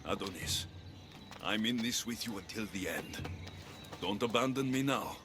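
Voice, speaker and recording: A man playing a determined adventurer hero in a video game, earnest, measured and persuasive. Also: on the low side, animated, music in the background, lightly acted. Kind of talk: video game cutscene acting.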